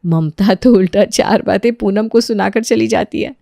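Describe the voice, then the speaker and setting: A woman's voice: medium pitch, normal speed, gravelly, mellow, dry recording, clean studio sound. A woman telling a scripted household moral story as its narrator.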